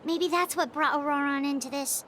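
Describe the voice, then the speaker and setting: A woman voicing a small, excitable, childlike fairy companion in an English anime game dub, speaking in squeaky, eager, exclamatory bursts. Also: normal speed, very noisy, natural voice. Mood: concerned.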